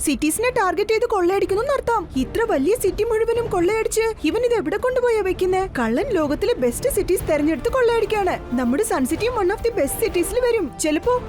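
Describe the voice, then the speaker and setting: Very high-pitched brave boy superhero. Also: expressive, fast talker, few pauses, a little mellow, faint music behind. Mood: concerned, puzzled. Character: brave, quick-thinking young boy superhero. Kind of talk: dubbed children's cartoon acting.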